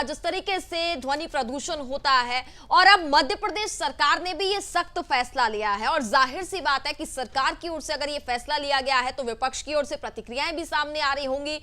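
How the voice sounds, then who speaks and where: A woman's voice: sits high, normal speed, few pauses, pushed and bright. A woman anchoring television news in a formal presenting style.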